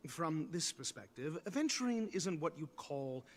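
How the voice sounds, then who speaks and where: Game role: condescending male academic, pompous and formal, higher-set voice with swooping intonation. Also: very animated, unhurried. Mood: lecturing. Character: haughty, intellectual male scholar who lectures everyone. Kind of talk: video game voice acting. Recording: music playing behind.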